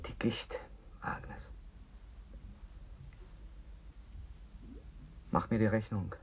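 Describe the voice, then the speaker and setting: A man acting an anxious, philosophising character in a German radio play, declamatory and rough-edged. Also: fairly low pitch, fairly even intonation, measured pace, whispered, lightly acted. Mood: weary, brooding.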